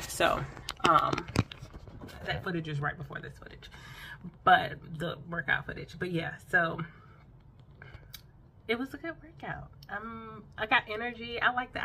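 A woman's voice: on the low side, fairly bright tone, a little hiss or hum. A woman in her thirties chatting casually to her phone camera from a bedroom at home, laughing often and calling her viewers y'all. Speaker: vlogger. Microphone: phone camera close up.

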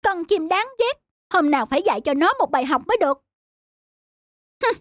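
A woman's voice: extremely high pitch, expressive, fairly quick, no room sound, studio quality. A woman voicing a lazy, grumpy, spiteful cabbage plant with a childish temper in a children's cartoon.

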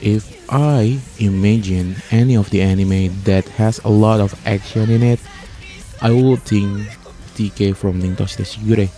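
A man hosting a countdown review as a voice-over, speaking haltingly and stretching key words. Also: on the low side, fairly even intonation, mellow. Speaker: host of an anime music ranking channel. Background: music playing under the voice.

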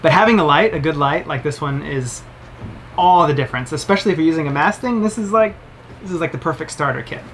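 A man's voice: on the high side, lively intonation, quick pace, fairly bright tone, slight background noise. A man in his thirties casually reviewing camera gear to the camera, chatty and upbeat, explaining as he handles each item in a boat cabin. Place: cabin of a sailboat, indoors.

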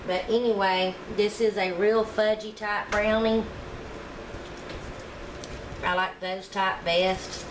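A woman's voice: medium pitch, little pitch movement, takes it slowly, long pauses. Woman, home cooking vlogger, chatty and homey, roomy kitchen sound, noisy background. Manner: chatty, homey, talks to viewers while working. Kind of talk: casual cooking demonstration. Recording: echoey kitchen with background noise.

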